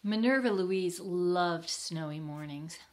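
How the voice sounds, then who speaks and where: An older woman reading a picture book to children, deliberate and given to asking them simple questions. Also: lowish voice. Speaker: library storytime reader.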